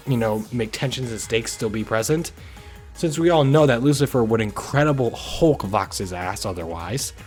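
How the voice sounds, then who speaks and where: A man with a mid-pitched voice presents a scripted video essay. He speaks informally and frankly, like an opinionated online host. Music plays underneath his narration. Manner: informal, opinionated, occasional swearing. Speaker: host of a video review channel.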